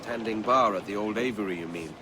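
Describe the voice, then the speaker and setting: An actor playing an earnest, brooding pirate captain in a video game, with a measured delivery. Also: male voice, on the low side, noisy background, natural voice. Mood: wry. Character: brooding, seasoned male pirate captain, quietly reflective. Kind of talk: dramatic video game acting.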